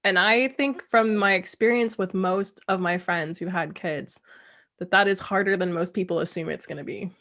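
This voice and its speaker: A woman hosting a casual interview, talking in a chatty way with quick asides. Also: on the low side, fairly bright tone. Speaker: host. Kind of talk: casual video-call chat.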